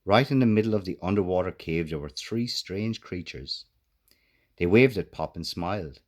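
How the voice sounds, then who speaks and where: Deep-voiced man narrating a children's story. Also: expressive, normal speed, a little mellow. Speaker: author reading own children's book.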